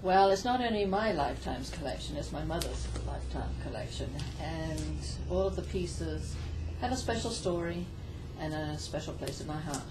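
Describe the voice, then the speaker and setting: An older woman from Zimbabwe, a guest in a radio interview, gracious and quick to laugh. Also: sits low, animated. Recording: distant mic with noticeable noise.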